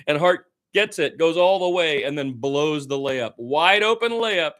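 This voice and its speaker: A man's voice: on the high side, lively intonation, measured pace, a bit bright. A man doing live radio-style basketball play-by-play as a partisan fan, with exasperated asides about his team.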